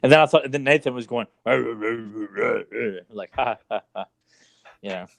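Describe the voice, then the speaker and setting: A man's voice: medium pitch, measured pace, vocal fry, a crowd in the background. A man in casual conversation as a podcast co-host, with a crude, sarcastic way of talking. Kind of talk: casual chat.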